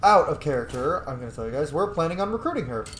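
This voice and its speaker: A man's voice: on the high side, sing-song, fast, a bit bright, roomy sound, a little hiss or hum. A man giving casual let's-play commentary as a video host, playful and sassy and swearing freely.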